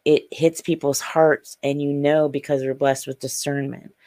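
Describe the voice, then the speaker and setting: A woman's voice: low voice, level tone, moderate pace, dark tone, dry recording. A woman guest on a podcast who speaks earnestly and insistently in casual conversation.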